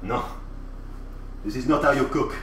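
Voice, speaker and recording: A man's voice: mid-range voice, unhurried, a bit bright, slight background noise, close to an everyday voice. A man putting on a crude, cocky French chef. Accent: put-on French. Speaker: livestreamer. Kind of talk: casual livestream chat in character. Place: kitchen. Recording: roomy, distant mic, faint ambience.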